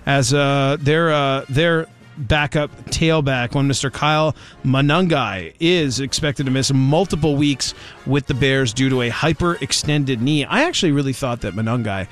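A man's voice: mid-range voice, moderate pace, a bit bright, soft background music. A man hosting a sports talk radio show, rambling and sarcastic with lots of filler words.

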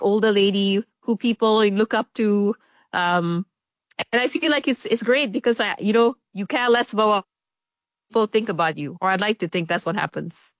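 A woman's voice: medium pitch, no room sound. A woman of thirty-three in a casual chat, speaking in a thoughtful, earnest way with light laughter. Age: 30s. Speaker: head of news.

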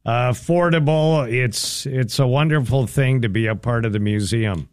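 Smooth-voiced male radio host. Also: mid-range voice, normal speed, no echo, clean studio sound. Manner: affable, agreeable, many short backchannels. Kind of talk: radio interview chat.

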